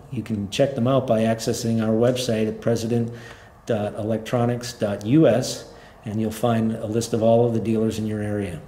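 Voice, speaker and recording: A man's voice: medium pitch, mellow. A middle-aged man informally demonstrating a product step by step, in plain-spoken, unscripted talk. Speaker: company representative presenting a product. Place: indoor room at a tabletop. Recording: roomy echo, faint background noise.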